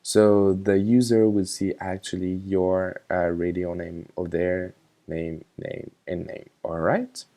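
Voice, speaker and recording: Male tutorial narrator, informal and hesitant, unhurried delivery, mellow tone. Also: sits low, little pitch movement. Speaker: software tutorial instructor. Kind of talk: casual screen tutorial narration.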